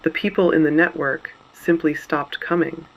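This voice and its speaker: A man playing a grave resistance broadcaster, narrating a scripted dystopian radio broadcast in a measured, deliberate, solemn way. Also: on the high side, fairly even intonation, fairly bright tone, rough recording. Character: grave adult male resistance broadcaster reporting on fallen cities.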